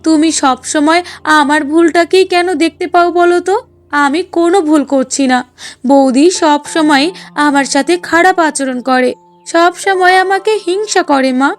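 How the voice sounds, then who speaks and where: A woman's voice: high-pitched, moderate pace, natural voice. A woman voicing a spiteful, whiny young sister-in-law in a cartoon, scolding and demanding. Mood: indignant, complaining. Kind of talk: Bengali cartoon dialogue.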